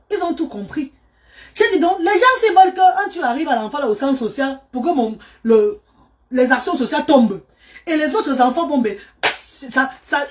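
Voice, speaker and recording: Middle-aged mother, high voice, fervent and pleading, repeats herself for emphasis. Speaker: mother and charity worker. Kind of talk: emotional personal testimony.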